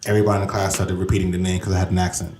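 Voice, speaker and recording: A man's voice: deep voice, flat delivery, very fast, darkish tone. A young man from Guyana telling a story on a casual podcast, acting out quotes as he goes. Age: young adult. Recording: roomy with faint noise.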